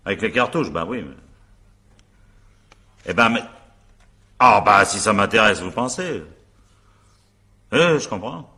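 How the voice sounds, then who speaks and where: A sardonic examining magistrate in a radio mystery. His voice is very low yet swoops widely, with a sharp, forceful edge. He probes with irony and drops into muttered asides. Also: expressive, a little slow, long pauses, slightly rough, full character voice. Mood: brisk, familiar. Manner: probing, ironic, digressive, muttering asides. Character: shrewd, sardonic examining magistrate questioning a suspect. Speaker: actor in a radio mystery drama. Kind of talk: French radio drama acting, naturalistic interrogation.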